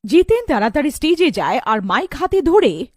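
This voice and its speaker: High-pitched, animated woman storyteller. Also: moderate pace, no echo, studio quality. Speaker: narrator. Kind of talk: scripted cartoon story narration.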